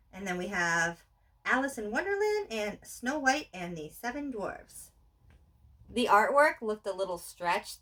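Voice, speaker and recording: A woman's voice: medium pitch, sharp tone. A woman chatting casually and playfully in an unscripted unboxing at home, with exclamations and jokes at her own expense. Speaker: co-host showing collection items. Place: indoors at home on a couch. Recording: distant mic, noisy room.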